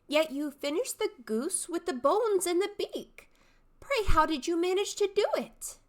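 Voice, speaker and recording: An eager youth pipes up in a high, swooping sing-song, reciting verse with exaggerated pertness. A woman puts on the young man. The questioning is theatrical and cheeky. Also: animated, measured pace. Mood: pert, inquisitive.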